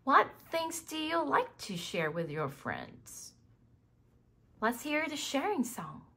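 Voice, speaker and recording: Casting: woman, children's read-along narrator, high voice, very animated delivery, addresses the child listener. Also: slow, background noise. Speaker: children's book reader. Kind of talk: scripted read-aloud narration for young children.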